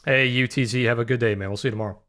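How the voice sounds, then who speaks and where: Young male streamer, deep voice prone to cracks, laid-back conversational delivery at a desk mic. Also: rapid-fire. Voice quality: naturally deep voice that sometimes cracks high. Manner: relaxed, filler-heavy, answers chat questions. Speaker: livestream trading host. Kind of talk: casual live-stream chat with chat readers.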